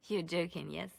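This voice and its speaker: Composed, shrewd spymaster. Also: female voice, lowish voice, vocal fry, exaggerated voice. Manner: formal, measured, knowing. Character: composed, shrewd female spymaster advising her lord. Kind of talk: dramatic video game dialogue.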